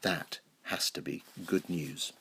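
A man, a clergyman, delivering a scripted sermon and scripture reading in a measured, explanatory manner. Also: a bass voice, steady tone, gravelly, fairly bright tone, breathy edge. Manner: measured, explanatory, rhetorical questions. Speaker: clergyman, Anglican canon.

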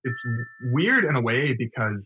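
A man's voice: medium pitch, big pitch swings, normal speed, soft background music. Man on a thin phone-like line. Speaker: podcast guest, associate producer of a TV series. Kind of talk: casual interview talk. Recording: thin phone-like call audio.

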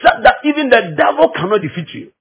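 A man's voice: high-pitched, very animated, fast, vocal fry, slightly rough, highs cut off. A man from Ghana with a Ghanaian accent preaching a teaching sermon, emphatic and repeating phrases to drive them home.

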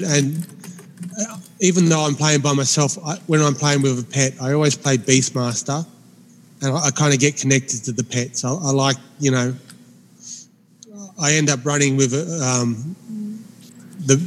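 A man in his forties with a mild speech impediment talks in a halting way, full of fillers and restarts. His voice has a long reverb tail over faint background noise. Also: medium pitch. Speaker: podcast co-host. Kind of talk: casual chat.

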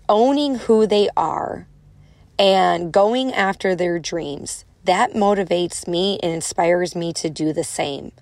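A woman's voice: lowish voice, vocal fry, darkish tone, dry recording. A young woman with a strong Chicago accent, hosting a solo motivational podcast in a casual, encouraging way. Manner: casual, encouraging, acts out inner voices. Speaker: podcast host.

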